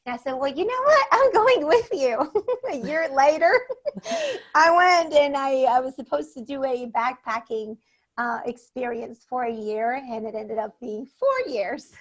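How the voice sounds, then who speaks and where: A woman from Venezuela whose first language is Spanish, talking on a podcast. Her voice sits a little high, and she tells her story in an animated way, laughing often and acting out what people said. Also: unhurried. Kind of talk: casual storytelling chat.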